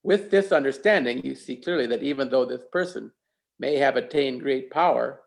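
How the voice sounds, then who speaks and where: A man delivering a reflective sermon as a preacher, speaking deliberately and pausing for emphasis. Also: medium pitch, vocal fry.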